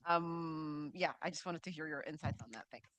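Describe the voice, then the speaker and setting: A woman's voice: deep voice, moderate pace, a bit bright, no room sound. A woman from Ethiopia asking a question from the audience at a seminar, speaking as a climate delegate.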